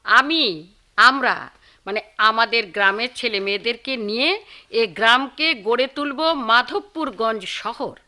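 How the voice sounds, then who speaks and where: An idealistic young village woman in a radio drama, full of plans. She declaims earnestly in a bright, forceful, slightly high voice. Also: measured pace. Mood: fervent, hopeful.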